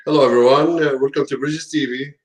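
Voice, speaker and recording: A man hosting an online talk show, speaking haltingly with many fillers and restarts. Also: mid-range voice, fairly even intonation, echoey room. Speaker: host.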